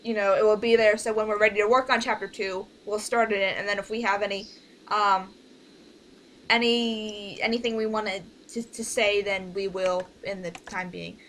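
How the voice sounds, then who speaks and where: A woman's voice: medium pitch, steady tone, normal speed, a bit bright, slight background noise, low-quality recording. A woman leading a casual online group meeting, talking informally through instructions step by step. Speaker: organizer leading a voice project meeting.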